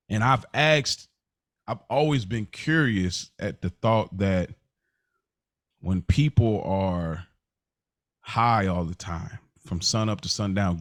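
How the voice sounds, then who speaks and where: A man talks as a podcast co-host in a reflective, unhurried conversational way. He feels his way through ideas aloud, often posing questions to himself. His middle-pitched voice is recorded dry, with hardly any room sound. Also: no room sound. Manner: searching, thinking out loud with rhetorical questions. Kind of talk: casual, reflective podcast chat.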